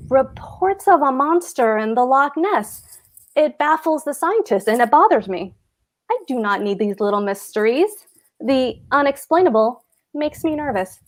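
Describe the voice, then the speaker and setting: A woman reading the part of a pompous, anxious husband, a fussy government clerk, at a table read of a stage comedy. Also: sits high. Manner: pompous, hectoring, fretful.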